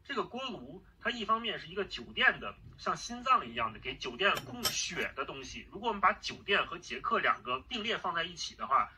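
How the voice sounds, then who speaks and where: A man's voice: on the high side, very animated, a little fast, hardly any pauses, bright, forceful tone. A man casually retelling and explaining a story in conversation. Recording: distant playback with faint noise.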